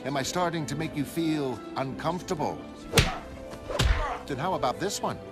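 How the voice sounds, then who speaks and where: An accusing male reporter from a comic-book drama, voiced by a man, pitched fairly high. He needles and insists with every phrase, over clearly audible music. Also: expressive, moderate pace, natural voice. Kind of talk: motion comic character acting. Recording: music behind the voice.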